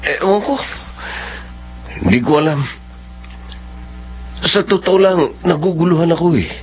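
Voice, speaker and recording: An actor playing a confused, sulking young boyfriend in a radio drama. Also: male voice, a little high, lively intonation, moderate pace, natural voice. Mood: hesitant. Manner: sulky, hesitant. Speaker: Johnny, the heroine's boyfriend. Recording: muffled lo-fi audio with faint hum.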